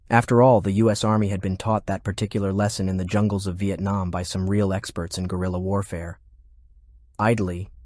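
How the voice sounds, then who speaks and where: A man's voice: low voice, darkish tone, no room sound. A man reading scripted fiction as an audiobook narrator, close to the microphone.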